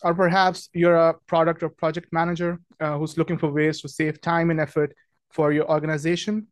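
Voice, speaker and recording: Young man from Pakistan, friendly webinar host, slightly higher voice, dry close sound, many fillers. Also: level tone, fairly quick, no echo. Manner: friendly, chatty, many ums and restarts. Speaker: webinar host, product marketing manager. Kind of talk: casual webinar presentation.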